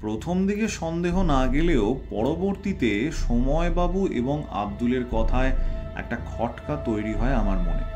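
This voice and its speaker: Male actor as self-assured sleuth: formal, deliberate, theatrical reveal delivery, prominent music bed. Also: medium pitch, animated, lightly acted. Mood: measured, explanatory. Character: shrewd, self-assured male Bengali detective unmasking a culprit. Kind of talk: audio drama acting. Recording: loud background music under voice.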